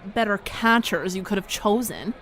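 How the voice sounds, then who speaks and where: Woman acting probing, persistent radio interviewer, mid-pitched. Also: lively intonation, moderate pace, a bit bright, a little hiss or hum, natural voice. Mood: skeptical, pressing. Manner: pointed, interrupting. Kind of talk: audio drama acting as mock interview.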